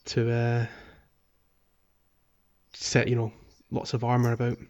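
A man with a mid-range voice co-hosts a gaming podcast. He talks casually and explains things haltingly, restarting his sentences as he goes. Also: mid-range voice, completely flat delivery, a little mellow, muffled recording. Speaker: podcast co-host. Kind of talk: casual chat about a video game.

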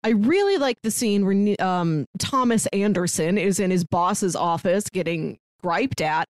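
A woman's voice: mid-range voice, animated, measured pace, no echo, studio quality. A woman co-hosting a podcast, talking about films in a thoughtful, sincere way, with many fillers and restarts. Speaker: podcast co-host. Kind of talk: casual film discussion.

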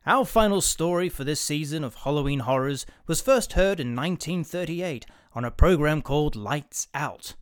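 Formal male host, fairly high voice. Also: animated, moderate pace, few pauses, clear, resonant tone, no echo, clean studio sound. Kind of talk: scripted introduction to a radio play.

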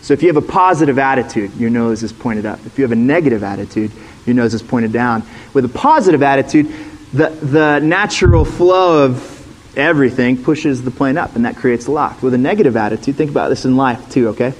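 A man's voice: medium pitch, brisk, rough recording. A middle-aged man, a former pastor, giving a sermon as a guest speaker, teaching in a conversational way and putting questions to the room.

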